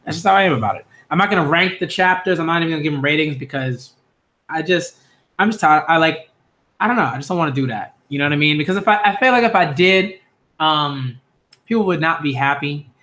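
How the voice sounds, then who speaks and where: A man's voice: a little high, lively intonation, fast talker, sharp tone. A man hosting a manga reaction video, chatting casually with lots of fillers and self-corrections. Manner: stumbles when reading names aloud. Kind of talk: casual live reaction chat.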